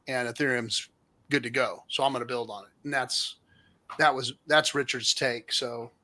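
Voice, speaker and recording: A man's voice: mid-range voice, sharp tone. A man hosting a casual livestream, explaining things informally as he takes viewer questions.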